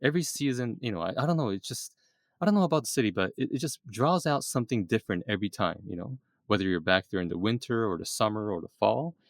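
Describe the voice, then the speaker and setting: Excitable man with a low gravelly voice. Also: quick pace, no room sound, clean studio sound. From East Asia. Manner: excitable, lots of fillers and restarts. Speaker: podcast host. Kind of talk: casual interview chat.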